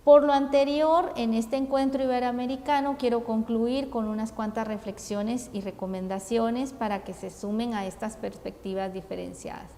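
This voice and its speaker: Guatemalan woman speaker, higher-pitched voice, unhurried and hesitant, in a reverberant hall. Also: dark tone. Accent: Guatemalan Spanish. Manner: measured, deliberate, frequent hesitations. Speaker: conference speaker. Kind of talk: formal conference talk. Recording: long reverb, faint ambient noise.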